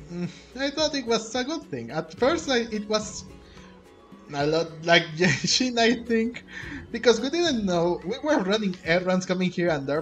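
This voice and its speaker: Gamer recapping a quest: a man who talks slowly and circles back on his words, over soft music. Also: fairly high pitch. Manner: rambling, thinking aloud with many restarts. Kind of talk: casual gameplay commentary.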